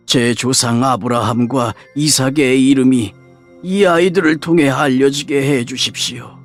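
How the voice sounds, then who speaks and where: A man as a slow, solemn dying old patriarch. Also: mid-range voice, lightly acted. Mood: reverent, grateful. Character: aged dying patriarch, solemn father blessing his sons. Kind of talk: dramatized scripture audiobook acting.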